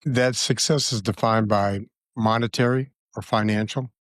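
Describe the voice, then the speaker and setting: A man in his sixties speaks with an earnest, measured warmth, pausing and filling gaps as he thinks. His voice sits on the low side. The sound is dry and close, with no room around it. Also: steady tone, no echo. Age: 60s. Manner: earnest, measured, with frequent fillers. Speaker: podcast guest. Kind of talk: reflective conversational interview.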